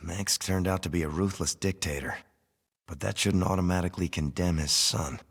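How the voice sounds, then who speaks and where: A man's voice: low voice, moderate pace, husky, big-room sound. A man playing a loyal, earnest, disciplined military captain in a video game. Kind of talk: video game cutscene acting.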